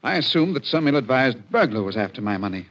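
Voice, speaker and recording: An actor playing a self-assured wealthy broker with a formal, precise, self-important manner in a 1940s radio mystery. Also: male voice, medium pitch, very animated, fast talker, a bit bright. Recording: dull, lo-fi 1940s radio recording.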